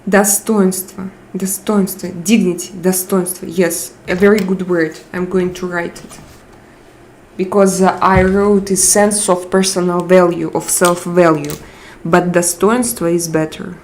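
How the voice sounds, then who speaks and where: Slow-talking Russian-accented woman streamer. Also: on the low side, little pitch movement, a little mellow, slight background noise, rough recording. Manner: slow, searching for English words with frequent hesitations. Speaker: live chat streamer. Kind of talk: casual live stream chat, reading viewer comments.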